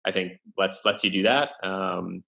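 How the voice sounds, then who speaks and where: A man's voice: deep voice, fairly even intonation, unhurried, creaky, sharp tone. A young man speaking as a podcast guest in casual interview talk, hesitant, with many fillers and restarts. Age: young adult. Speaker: podcast guest, venture investor.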